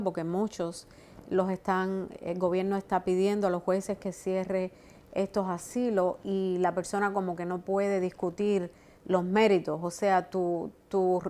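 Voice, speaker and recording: A woman's voice: mid-range voice, a little mellow. A woman immigration lawyer explains legal procedures in a mid-range voice. She talks steadily and often agrees with the host in short replies. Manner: explanatory, affirming with repeated "exacto". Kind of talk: expert interview.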